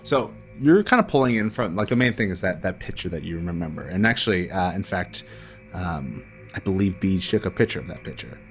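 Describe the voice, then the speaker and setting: A man acting as game master, improvising horror tabletop narration in a halting, casual way full of filler words. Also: low-pitched, sing-song. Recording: music behind the voice. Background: background music.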